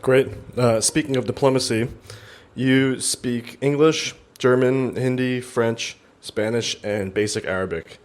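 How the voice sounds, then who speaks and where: A man's voice: medium pitch, measured pace. A young adult man interviewing a guest, reading out prepared questions and briefly thanking him between them. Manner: reads out his questions with a brief thank-you.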